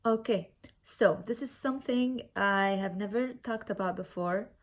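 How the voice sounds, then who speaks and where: A woman's voice: mid-range voice, measured pace. Young female beauty vlogger, Syrian Arabic accent, mid-range voice, informal tutorial chat with emphatic repetition. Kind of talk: casual makeup tutorial chat.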